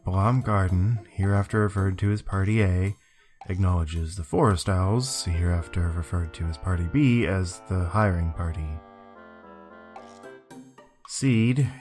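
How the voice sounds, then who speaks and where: A man voicing an aloof, curt young mercenary leader in an amateur video game dub, deadpan and terse. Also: low-pitched, unhurried, soft background music. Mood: reading formally.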